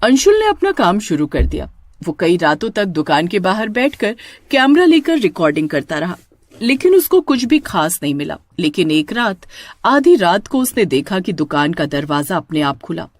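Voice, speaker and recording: Animated woman narrating horror stories. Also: lowish voice, normal speed, dry recording. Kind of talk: scripted horror story narration.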